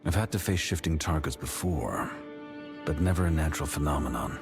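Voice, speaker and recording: A terse veteran warrior in a fantasy game. His voice is extremely deep and his delivery clipped and cool, with music clearly behind him. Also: expressive, brisk, exaggerated voice. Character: terse, seasoned male warrior, coolly tactical. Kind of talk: scripted video game dialogue.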